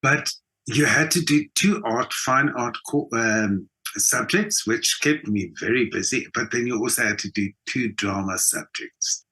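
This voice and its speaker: A South African man with a bright, forward voice at an ordinary male pitch. He talks as an interview guest sharing his own story in a conversational way, stressing points by repeating words. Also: unhurried, low-quality recording. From South Africa, Johannesburg. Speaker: interview guest, actor and theatre designer. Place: video call from home country, indoors. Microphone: video call.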